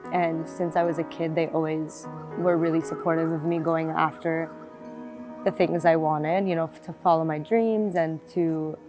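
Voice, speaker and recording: A woman's voice: low-pitched, steady tone, moderate pace. A woman in her twenties from South Florida, reflectively telling her life story in an interview, with many fillers and restarts. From South Florida, United States. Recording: music playing behind the voice.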